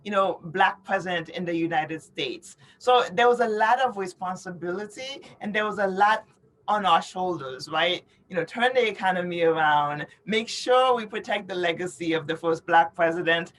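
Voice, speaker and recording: A woman's voice: lowish voice, moderate pace. A middle-aged woman from New York City, born in Haiti, giving earnest, explanatory interview answers and often checking in with "you know" and "right?". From born in Haiti, raised in New York City. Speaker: interview guest, author and advocate.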